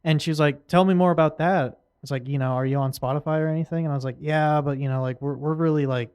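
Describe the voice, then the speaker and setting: A man co-hosting a podcast, telling a story in a halting, easygoing way in a small home studio. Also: on the high side, fairly even intonation, darkish tone, clean tone, dry recording. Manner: hesitant, easygoing, many fillers. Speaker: podcast co-host. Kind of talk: casual storytelling.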